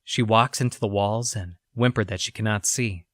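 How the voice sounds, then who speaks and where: A man playing an unsettled 1960s researcher who records grim experiments in a measured, clinical journal for an audio drama. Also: lowish voice, fairly even intonation, a bit bright, no echo, studio quality, close to an everyday voice. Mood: uneasy, puzzled.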